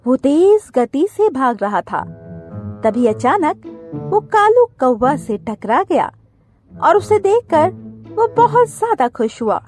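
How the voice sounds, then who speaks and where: A woman narrates a children's story in a high, very lively voice. She takes it slowly, with music playing behind her.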